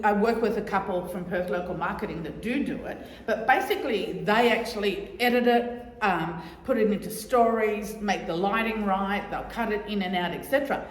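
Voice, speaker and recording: A middle-aged woman giving a loud, chatty, joking talk to a society audience, repeating her key points. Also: medium pitch. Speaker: guest speaker on oral history. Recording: echoey hall.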